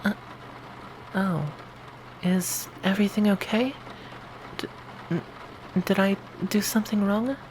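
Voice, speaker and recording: A timid young male zombie, acted by a woman for an ASMR roleplay. He is friendly and eager to please, speaking slowly and hesitantly, over a faint layer of noise. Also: medium pitch, darkish tone, natural voice, poor audio. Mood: worried, unsure.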